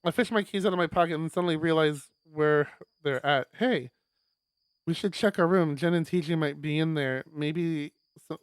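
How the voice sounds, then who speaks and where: A man streaming a live read-aloud of a visual novel, expressive, with stumbles and casual asides. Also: fairly high pitch, normal speed, clean tone, no echo. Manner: expressive, stumbles over words while reading.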